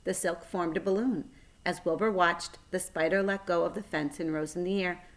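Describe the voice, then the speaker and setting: A woman narrating a children's story aloud in a measured, expressive way. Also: fairly low pitch.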